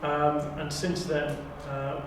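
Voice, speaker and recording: A man's voice: a little high, completely flat delivery, slow pace, music in the background. A man talking casually in an interview as an audition contestant. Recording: very echoey room, distant mic, faint noise.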